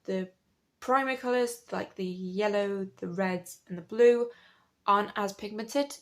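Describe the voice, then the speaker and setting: A woman in her late twenties, croaky from a cold, chatting in a rambling, self-correcting way as she talks through her printmaking. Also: mid-range voice, measured pace. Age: late 20s. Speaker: artist and printmaker. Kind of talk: casual chat over studio work.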